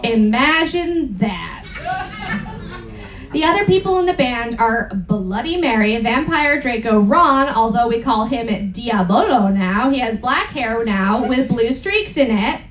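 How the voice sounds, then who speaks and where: Casting: female stage reader, high voice, unhurried theatrical comic delivery with asides, roomy acoustic. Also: lively intonation, fairly bright tone, soft background music. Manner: theatrical, mock-serious with asides. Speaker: performer giving a dramatic reading. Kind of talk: comic live dramatic reading. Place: live event room with audience. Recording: roomy live stage sound.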